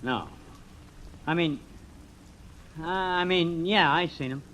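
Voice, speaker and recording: High, slangy, shifty young American loafer. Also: male voice, takes it slowly, a bit bright. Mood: evasive. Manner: slangy, defensive, evasive. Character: cocky, shifty young loafer in his twenties. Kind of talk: 1940s radio acting. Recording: noisy old radio drama recording.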